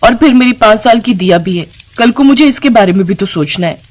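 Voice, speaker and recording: A woman's voice: fairly high pitch, expressive, a little fast. A woman voicing a greedy, nagging, scheming village wife who can turn wheedling, in a narrated story. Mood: irritated. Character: sharp-tongued, greedy, scheming village wife and sister-in-law. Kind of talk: acted dialogue in a narrated story.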